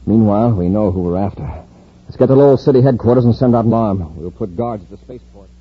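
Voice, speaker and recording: An actor playing a decisive, authoritative space patrol commander in an old-time radio serial, firm and commanding. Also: male voice, lowish voice, quick pace, breathy edge. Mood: brisk, decisive. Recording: muffled vintage radio recording.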